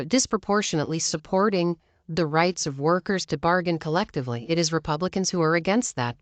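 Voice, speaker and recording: A woman's voice: on the low side, no echo. An American woman giving opinionated political commentary in an emphatic, conversational way, often posing rhetorical questions.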